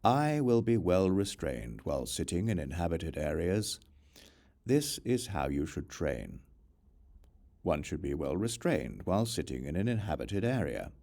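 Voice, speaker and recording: A man narrating a religious text as an audiobook in a measured, formal, deliberate manner. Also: deep voice, big pitch swings, dark tone.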